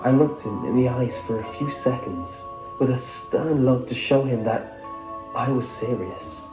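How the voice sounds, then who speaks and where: A man's voice: medium pitch, unhurried. A man reading a scripted first-person horror story as its narrator. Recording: roomy echo under music. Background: music.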